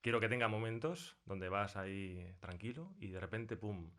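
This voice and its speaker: A man's voice: on the low side, lively intonation, moderate pace, fairly bright tone. A man from talking casually as a sound designer being interviewed in a studio. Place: recording studio.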